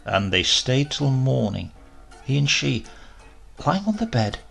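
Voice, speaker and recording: Expressive male storyteller with a gravelly, husky voice. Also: medium pitch, measured pace, soft background music. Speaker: story reader. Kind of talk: scripted literary narration of a crime tale.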